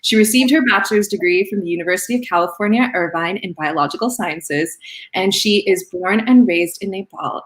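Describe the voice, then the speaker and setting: A woman's voice: fairly low pitch, low-quality recording. A woman hosting a casual online interview show, upbeat and encouraging with her guest, on a video call mic. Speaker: podcast host.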